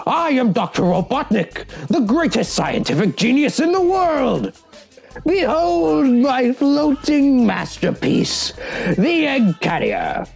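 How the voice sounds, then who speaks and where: A man's voice: very high voice, creaky, exaggerated voice. A man voicing a grandiose, pompous evil genius in the style of a video game villain. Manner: pompous, declamatory. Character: bombastic evil male mad scientist, grandiose and gloating.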